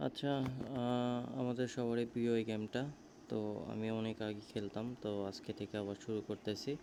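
A man's voice: lowish voice, little pitch movement, a little fast. A man giving casual, hesitant, unscripted commentary while playing a game. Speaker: gamer. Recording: faint background noise.

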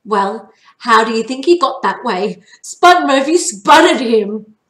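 A woman's voice: on the high side, lively intonation, slow pace, a bit bright, recorded from a distance. A dramatic boy of nine played by a woman reading a storybook protests everything with great emphasis. The voice is raised a little, and the room is echoey. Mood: insistent. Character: nine-year-old boy, dramatic, indignant, pleading.

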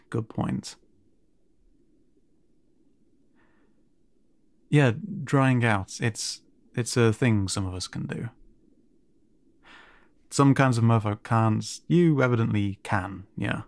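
A kind, awkward siren merman, acted by a man in an intimate audio roleplay. He takes his time, stammering and hesitating as he gently guides the listener. His mid-pitched voice sounds close and dry. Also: gravelly, no echo. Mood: gentle, surprised. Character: kind, awkward male siren merfolk guiding a newcomer.

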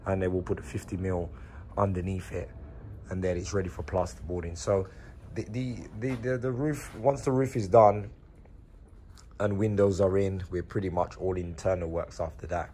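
A man in his thirties, hoarse from a sore throat, casually walking viewers through his building site and explaining the work step by step. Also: sits low, moderate pace, a little hiss or hum. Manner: relaxed, explains step by step with fillers. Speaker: builder vlogger. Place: unfinished building extension under a new roof, indoors. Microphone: phone held at arm's length.

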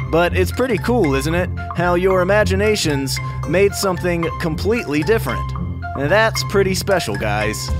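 Higher-voiced man narrating a children's story. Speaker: children's storyteller and show creator. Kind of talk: scripted story narration for kids.

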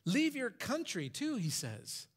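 Animated preaching man, fairly high voice. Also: slow pace, breathy edge. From Michigan, USA. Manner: animated preaching, voice rising for quoted commands. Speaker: pastor. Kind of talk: sermon. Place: church stage.

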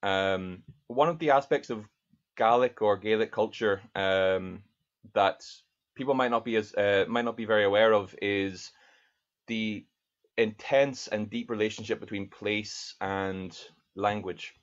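A man in his thirties reads his poems slowly and earnestly, speaking in a fairly low voice. He talks from a book-lined home study into a webcam. The video-call audio is dull and lo-fi. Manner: earnest, thoughtful, reads verse slowly and deliberately. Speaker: poet. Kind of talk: poetry reading with spoken introductions.

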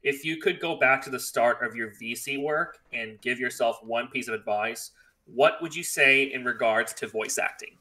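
A man's voice: mid-range voice, normal speed, pushed and bright, poor audio. A man hosting an online fan panel, hesitant and restarting his sentences. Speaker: panel host. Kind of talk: casual online panel chat.

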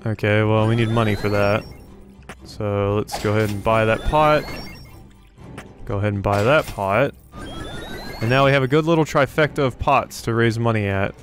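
A man's voice: on the low side, normal speed, long pauses. A man giving casual let's play commentary, thinking aloud as he plays. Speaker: gaming video host. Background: game music.

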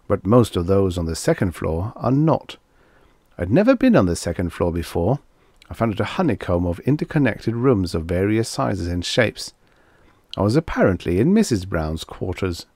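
A man narrating a scripted short story in an expressive, clearly phrased manner, close to the microphone. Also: lowish voice, no room sound. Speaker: audiobook narrator.

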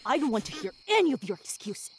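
A woman playing a sadistic, obsessive demon woman in an ASMR roleplay, gloating and possessive, with menacing breathy whispers that can break into shouts. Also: high-pitched, sing-song, fast talker, crowd noise. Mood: furious.

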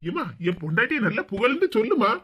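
A performer playing an easygoing, clueless young husband. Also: male voice, extremely high pitch, big pitch swings, fast talker. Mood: pleading. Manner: mild, easygoing.

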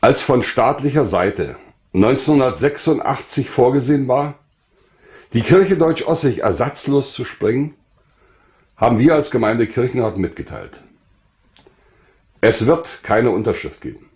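An older man narrating his own life story from a script in a measured, formal way. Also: medium pitch, animated, darkish tone.

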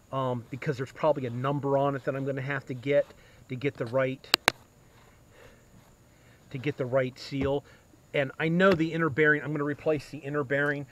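A man's voice: a little high, a little hiss or hum. A man narrating a casual do-it-yourself repair outdoors, thinking aloud with fillers and restarts, recorded on a head-mounted camera. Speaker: DIY mechanic. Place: outdoors in a yard. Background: crickets and insects.

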